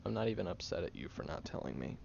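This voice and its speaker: A man playing a prickly, guarded young loner who grudgingly cares, in an intimate one-sided audio roleplay, sardonic and hesitant with breathy murmurs. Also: deep voice, expressive, fast, darkish tone, heavily acted. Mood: gruff, teasing. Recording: muffled, lo-fi, noticeable background hiss.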